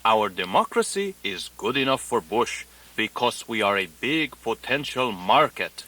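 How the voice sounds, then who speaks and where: Earnest male language tutor, forceful bright voice, very varied intonation, deliberate, radio comedy. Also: medium pitch, big pitch swings, rough recording. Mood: instructive. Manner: deliberate, instructive enunciation. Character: earnest male language-lesson instructor spouting mock foreign phrases. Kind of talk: parody of a broadcast language lesson.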